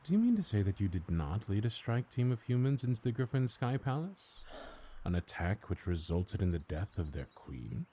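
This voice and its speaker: Man acting as ancient lordly councilor, low mellow voice, swooping intonation, formal, pompous, slyly courteous, fantasy audio drama. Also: big pitch swings, normal speed, slight background noise. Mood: accusing. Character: ancient, stately male high councilor, suavely condescending.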